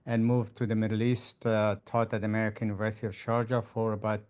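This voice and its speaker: Older man with a smooth, low, flat voice. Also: fast. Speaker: retired architect and professor. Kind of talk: self-introduction in an online meeting. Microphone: video call.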